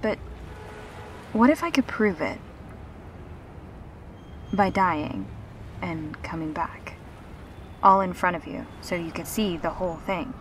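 A woman playing a gentle, reassuring revenant in an intimate audio roleplay, speaking earnestly and haltingly. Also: lowish voice, slight background noise. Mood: patient, earnest. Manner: halting, earnest, soft-spoken pauses.

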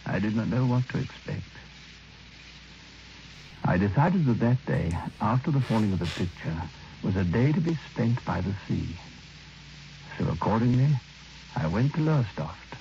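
Low, creaky-voiced troubled man. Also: animated, moderate pace, long pauses, dark tone. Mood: grave, measured. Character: troubled, reluctant male lodger telling his ghost story. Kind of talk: old radio drama acting and narration. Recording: muffled, noisy old radio recording.

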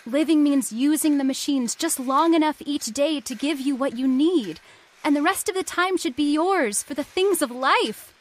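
An actress playing an idealistic young factory heiress in a radio horror play, urgent and pleading in a theatrical way. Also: female voice, high voice, moderate pace, lightly acted. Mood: earnest pleading. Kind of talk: old-time radio horror acting.

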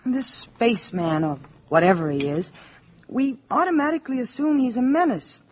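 A woman's voice: on the high side, animated, normal speed, darkish tone, lightly acted. An actress playing a sensible, fair-minded mother in a 1950s radio drama, speaking politely and reasonably. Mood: reasonable. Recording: dull, hissy 1950s radio recording.

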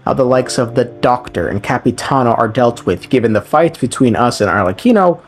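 A man's voice: medium pitch, expressive, fairly quick. A man giving a casual, sarcastic recap commentary of a game story, with profane, irreverent humor. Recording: voice over background music. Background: background music.

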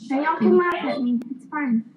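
High-pitched pleading woman guest. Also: normal speed, echoey room, distant mic, muffled recording, noisy background. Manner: pleading, self-pitying, dramatic. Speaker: talk show guest.

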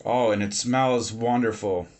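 A man in his thirties casually reviewing a beer at home, relaxed and filling pauses with "um" and "uh", recorded on a webcam or phone at his desk. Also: fairly low pitch. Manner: relaxed, many fillers and pauses. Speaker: beer reviewer, channel host. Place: home room with bookshelves, indoors.

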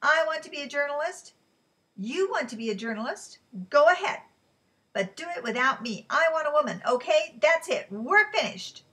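A woman's voice: high-pitched, expressive, moderate pace, distant mic, pitched above the performer's own voice. A woman voicing an angry, protective young man in a heated argument in an audiobook. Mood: furious. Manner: loud, heated arguing.

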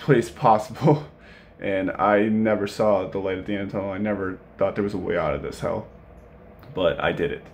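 Male, late 20s, slightly low pitch, earnest casual vlog storyteller. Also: darkish tone, slight background noise. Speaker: vlogger. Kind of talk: casual personal storytelling to camera. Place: indoor room at home.

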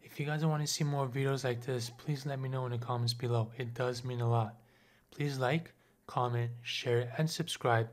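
A man's voice: medium pitch, fairly even intonation, moderate pace. A young man of Lebanese Armenian background giving casual gameplay commentary at home, chatting to viewers and asking for comments. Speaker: gaming YouTuber.

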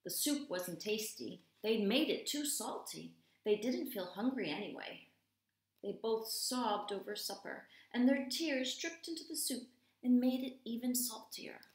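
A woman in her thirties, an English teacher reading aloud to young children, dramatic and deliberate, with hushed stretches. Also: a little high, lively intonation. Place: small room at home, indoors. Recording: roomy indoor echo.

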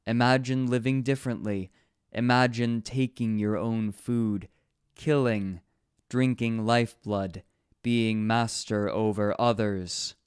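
A man voicing a dignified female alpha wolf who speaks slowly and alluringly, coaxing and hypnotic. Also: mid-range voice, fairly even intonation, smooth, no room sound, clean studio sound, lightly acted. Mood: tempting.

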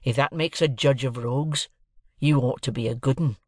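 Narrator putting on a gruff, slow-drawling, surly blacksmith's man. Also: mid-range voice, a little mellow, no room sound, clean studio sound, natural voice. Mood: menacing, spiteful. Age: young adult. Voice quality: gruff, growling. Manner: drawn-out, rustic working-class speech. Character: gruff, sullen journeyman blacksmith, surly and spiteful.

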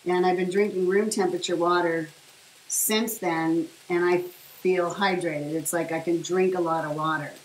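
A middle-aged woman with a fairly low voice rambles casually to a camera set on her kitchen counter, full of ums and asides. The kitchen is echoey, with a faint hiss and food sizzling in a pan. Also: steady tone, normal speed. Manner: rambling and chatty, many fillers. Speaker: vlogger sharing her diet. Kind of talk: casual chat while cooking. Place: home kitchen, indoors. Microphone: camera on the counter at a short distance.

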